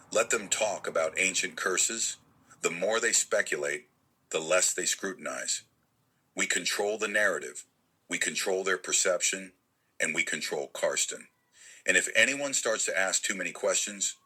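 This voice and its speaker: Sharp, husky low-voiced sinister schemer played by a man. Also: heavily acted. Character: sinister scheming member of secret circle, coldly controlling. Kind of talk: scripted audio drama monologue.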